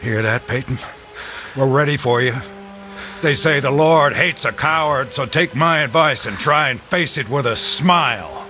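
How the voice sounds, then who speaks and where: Sneering, hard-edged soldier. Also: male voice, medium pitch, a little slow, soft background music. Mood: mocking. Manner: mocking, hard, forceful. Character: callous, sneering male soldier, a taunting executioner. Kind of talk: old-time radio drama acting.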